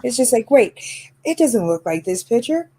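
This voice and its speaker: Chatty fifty-year-old woman, mellow voice. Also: fairly high pitch, big pitch swings, moderate pace, slight background noise. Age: 50s. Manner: chatty, lots of fillers and laughs. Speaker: channel host. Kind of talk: casual chat about makeup.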